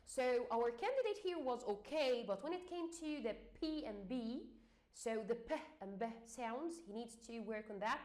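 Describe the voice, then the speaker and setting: Egyptian-accented woman teacher, slightly high voice, halting explanatory delivery. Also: lively intonation, a little mellow. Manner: hesitant, many fillers, repeats and restarts. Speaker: English exam teacher.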